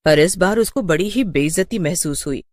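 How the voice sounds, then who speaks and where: Animated woman storyteller with a lowish voice. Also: normal speed, no room sound. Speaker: story narrator. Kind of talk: scripted moral story narration.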